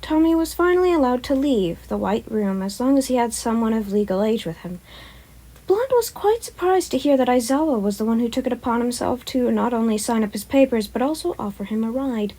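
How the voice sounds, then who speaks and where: Expressive woman reading a story aloud. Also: a little high, brisk, poor audio. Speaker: fan fiction narrator.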